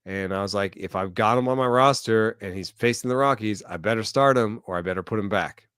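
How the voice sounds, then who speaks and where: A man who is a recurring guest on a podcast, thinking aloud through pitching numbers with dry humor. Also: brisk, a bit bright, smooth, dry recording. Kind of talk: casual baseball analysis chat.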